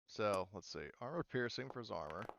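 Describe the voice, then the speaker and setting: A man's voice: on the low side, expressive, a little fast, creaky, a bit bright, highs cut off, slight background noise. A man running a tabletop game as game master, explaining rules and thinking aloud in a casual way.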